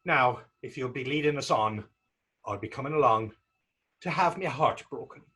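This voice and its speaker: A man's voice: medium pitch, moderate pace, sharp tone, breathy edge. A man playing a naive, earnest young fellow in readers theater, speaking rambling, guileless old-fashioned dialect. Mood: eager, smitten. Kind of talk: readers theater stage acting.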